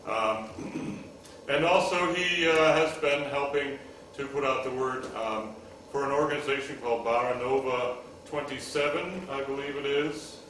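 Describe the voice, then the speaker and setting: A man introducing the next speaker at a benefit, halting with many fillers. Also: medium pitch, fairly bright tone. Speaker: event presenter. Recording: echoey hall, distant mic, noisy.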